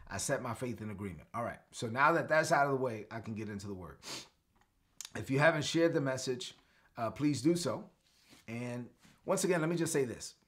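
A middle-aged man from Brooklyn, a pastor giving a live-streamed daily sermon from a home studio, earnest and declarative, repeating phrases for his viewers to echo. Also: mid-range voice. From Brooklyn, New York. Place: home studio with lit shelves.

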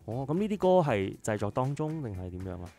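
A man's voice: fairly low pitch, very animated, fast, a little mellow. A man with a Hong Kong accent, casually interviewing as a radio host through a face mask in a studio. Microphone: studio broadcast microphone, through a face mask. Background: music.

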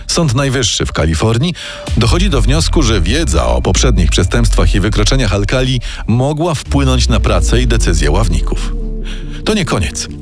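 A male narrator reading a scripted true-crime story. His pitch is medium but swings in a lively way, and music plays clearly behind him. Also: normal speed.

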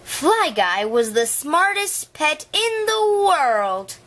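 A woman reading a children's picture book aloud in an animated storytelling style. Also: sits high, slow.

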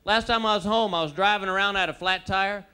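A man's voice: high voice, steady tone, fast talker, bright, forceful tone, clean tone. A man in his thirties from Texas with a Texan accent, performing a stand-up comedy routine on a theater stage, drawling through stories and slipping into silly voices. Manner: drawling storyteller, slips into silly character voices. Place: theater stage before a live audience. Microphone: handheld microphone. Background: audience laughter.

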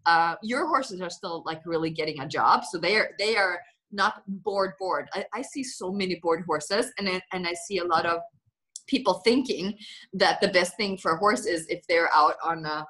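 A woman in her thirties from Germany chats casually on a video call from her living room, animated and giggly, with thoughts that trail off. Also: fairly low pitch, normal speed, poor audio. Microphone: video call, computer microphone.

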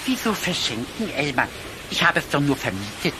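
An actor playing a cheeky, quick-witted cartoon rabbit in a children's audio play, animated and cocky, with music behind. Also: medium pitch, background noise, natural voice.

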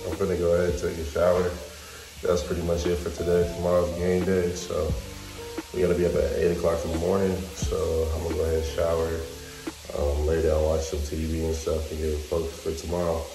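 A young man vlogging casually to his phone in a hotel room, with a relaxed slangy delivery full of ums. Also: low-pitched, fairly quick, over music, rough recording. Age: young adult. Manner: laid-back slangy talk with many ums. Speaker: college basketball player vlogger. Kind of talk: casual vlog to camera. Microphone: phone held at arm's length.

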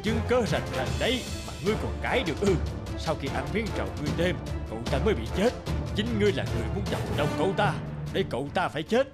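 A man's voice: a little high, very animated, quick pace, over loud music. A man playing an overbearing, hasty village magistrate in a cartoon folktale, blustering and accusing. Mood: angry, accusing. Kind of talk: animated folktale acting.

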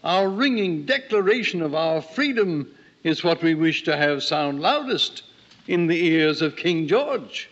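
An actor playing an aging, diplomatic elder statesman in an old-time radio drama, measured and courtly. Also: on the high side, animated, a bit bright. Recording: muffled old radio recording.

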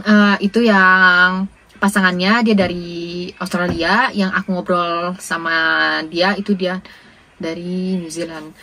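A woman's voice: on the low side, fairly bright tone, poor audio. A young woman with an Indonesian accent, chatting casually as a travel vlogger. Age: young adult. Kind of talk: casual chat to camera and with people met on the road.

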